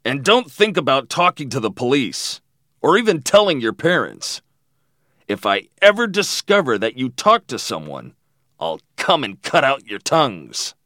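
A man voicing a menacing, drunk, thin villain who taunts and shouts threats in a story. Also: medium pitch, huge pitch swings, unhurried, no room sound, studio quality, pitched above the performer's own voice, heavily acted. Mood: menacing, taunting.

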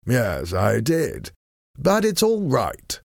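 A man voicing a calm, bored-sounding gentleman hero in an audiobook, with a drawling, courteous, nonchalant manner. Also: mid-range voice, takes it slowly, vocal fry, no room sound, clean studio sound, pitched above the performer's own voice, heavily acted. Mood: reassuring. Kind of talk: acted audiobook dialogue.

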